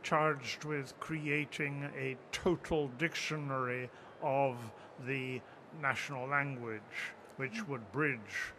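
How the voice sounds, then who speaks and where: An older man on a panel, speaking deliberately with uhs at a table microphone. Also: mid-range voice, noisy background. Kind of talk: panel discussion. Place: bookfair panel stage with an audience, indoors.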